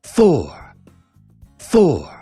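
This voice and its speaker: A woman reads a children's storybook aloud in an expressive, deliberate way, putting questions to young listeners. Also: sits low, slightly rough, soft background music. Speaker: storybook reader. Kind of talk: children's read-aloud narration.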